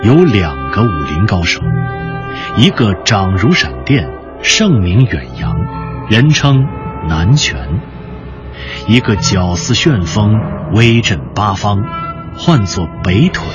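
A man's voice: on the low side, big pitch swings, takes it slowly, lo-fi sound. A man narrating a martial-arts radio drama in a dramatic storytelling style. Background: music.

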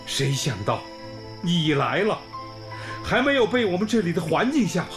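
A man's voice: high voice, sing-song, normal speed. An actor playing a kindly old village school principal in a radio drama, effusive and homely, sighing and exclaiming as he talks. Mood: grateful. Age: older, around sixties. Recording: music bed under the voice.

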